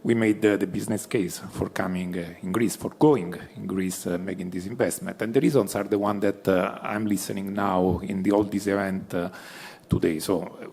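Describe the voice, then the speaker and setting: Man, italian accent, somewhat low voice, panel speaker, halting with lots of uhs. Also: fairly even intonation, gravelly, a little mellow, breathy edge. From Italy. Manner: hesitant, many fillers, non-native phrasing. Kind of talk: panel discussion. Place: club event hall.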